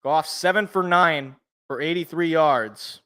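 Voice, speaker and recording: Young guy calling a football game live, kinda rough sniffly voice, bright tone. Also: a little high, measured pace. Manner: excited calls, casual asides. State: allergies, a little rough and sniffly. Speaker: sports podcast host. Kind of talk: live play-by-play commentary.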